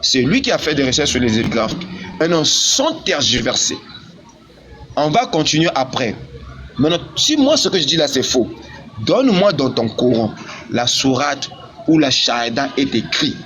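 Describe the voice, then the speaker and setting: A man's voice: mid-range voice, big pitch swings, moderate pace, fairly bright tone. A man debating religion heatedly, speaking in an insistent, repetitive, argumentative way over a live online connection. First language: not Arabic. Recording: muffled lo-fi call, background noise.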